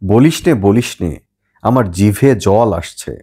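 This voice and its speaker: A man's voice: lowish voice, animated, takes it slowly, dark tone, breathy edge, heavily acted. A young grandson in a storybook, voiced by a male narrator, all mock-wounded innocence. He teases and wheedles in a cajoling way. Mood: playful coaxing.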